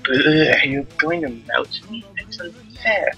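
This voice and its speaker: A man's voice: high voice, very animated, unhurried, creaky, bright, forceful tone, lo-fi sound, pitched above the performer's own voice, full character voice. A man voicing a small, bratty, defiant slime girl in a visual novel read-aloud. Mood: whiny. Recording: music behind the voice.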